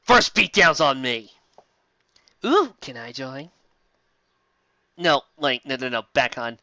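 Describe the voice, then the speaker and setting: A man giving a sarcastic, theatrical dramatic reading of fan fiction and breaking in with excitable commentary. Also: mid-range voice, slow, no room sound, highs cut off.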